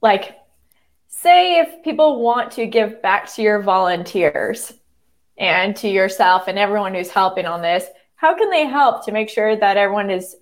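A woman's voice: medium pitch, lively intonation, unhurried. A woman hosting a casual live interview, upbeat as she asks questions and wraps up. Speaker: host.

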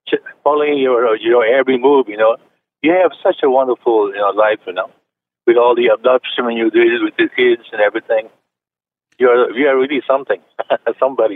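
A man in his late seventies from China, calling in to a radio show and chatting with many fillers and restarts. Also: medium pitch. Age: 70s. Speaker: caller. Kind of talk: phone-in chat. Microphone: telephone. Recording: tinny phone line.

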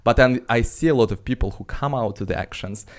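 A man's voice: medium pitch, fast. Man giving candid opinions. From Russia. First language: Russian. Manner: candid, emphatic opinions with lively mock quotes. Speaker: guest. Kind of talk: casual podcast conversation.

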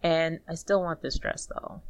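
A woman with a low-pitched voice hosts a podcast in a casual register. She talks conversationally and informally. The sound is dry, with very little room in it. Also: measured pace, no room sound. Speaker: podcast host. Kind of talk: casual commentary and chat.

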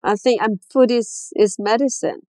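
A woman in her fifties from Chile, a fashion designer as a guest, chatting candidly and with self-deprecating humor in a relaxed interview. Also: a little high, normal speed, dry recording, studio quality. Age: 50s. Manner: candid, self-deprecating, laughs often, many "you know"s. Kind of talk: casual interview chat.

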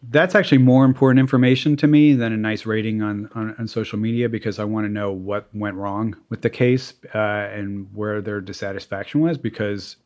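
Male lawyer guest, low-ish pitch, casual, rambling delivery. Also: steady tone, brisk, few pauses, a little mellow. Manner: rambling, full of "you know" and restarts. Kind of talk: casual interview talk.

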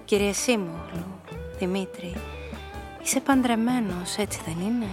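A woman's voice: mid-range voice, gravelly, over music, natural voice. An actress playing a cool, calculating nightclub hostess, telling her story and speaking her lines in a composed, knowing radio drama style. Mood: coyly flirtatious. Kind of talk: first-person noir radio play narration and dialogue.

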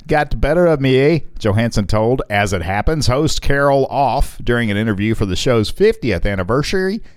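A man co-hosting a casual podcast, reading headlines and tossing in quick jokes. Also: medium pitch, no echo, studio quality. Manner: jokey, quick asides and laughs. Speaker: podcast co-host.

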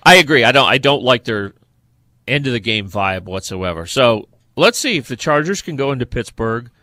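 A man's voice: medium pitch, lively intonation, normal speed, bright, forceful tone, dry recording. A man co-hosting a sports podcast, chatting casually and giving emphatic opinions on football games.

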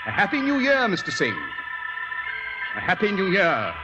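An actor playing a shrewd, commanding detective in 1940s radio drama style, with precise, formal, theatrical delivery. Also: male voice, sits high, wildly swooping pitch, normal speed, lo-fi sound, over loud music. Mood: dryly mocking. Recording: vintage 1940s radio recording.

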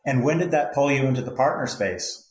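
A man's voice: mid-range voice, expressive, fairly quick, roomy sound. A man hosting an interview, asking questions and affirming answers in an upbeat way. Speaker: podcast host.

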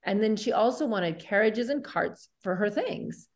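Upbeat woman podcast host, medium pitch. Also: animated, unhurried. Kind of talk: upbeat presenting and chat.

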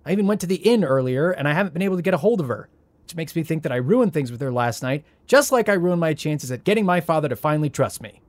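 A man playing a frazzled, sarcastic young heir in an audio drama, with a wry, flustered, halting delivery. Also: a little high, lively intonation, natural voice. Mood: self-pitying, exasperated. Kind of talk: audio drama acting, naturalistic.

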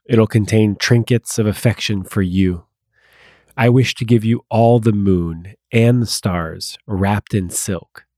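A man's voice: on the low side, darkish tone, no echo, clean studio sound. A man hosting a show, reading love letters aloud and introducing listener submissions. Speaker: podcast host.

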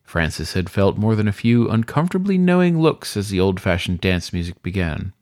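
A man reading a story aloud as scripted audiobook narration, in an expressive storytelling manner. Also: on the low side, brisk, no room sound, clean studio sound. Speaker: story reader.

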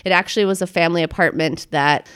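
A woman's voice: fairly low pitch, fast, fairly bright tone, smooth. A young woman from Boston, a photographer, talking about her life in a chatty interview, often laughing and hedging with fillers. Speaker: guest photographer. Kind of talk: casual interview.

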